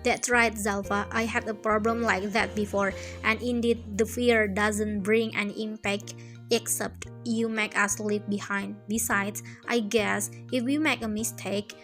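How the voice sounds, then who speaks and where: A woman's voice: a little high, a bit bright. A young woman with an Indonesian accent, a student moderating a prepared discussion. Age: young adult.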